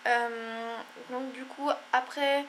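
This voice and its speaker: Congested young woman rambling, flat tone. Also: on the high side, drawn-out words, slight background noise. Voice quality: tired. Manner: rambling, many fillers and apologies. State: stuffy, congested. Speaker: vlogger. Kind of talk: casual chat to camera.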